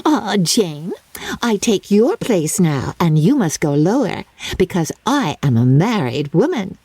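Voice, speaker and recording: A giddy young bride from a classic storybook, acted out by a woman narrator, pitched high and swooping with self-satisfaction. She gushes and boasts, the voice soft-edged rather than crisp. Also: very animated, measured pace, no echo, full character voice. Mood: smug. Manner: gushing, breathless exclamations, giggly.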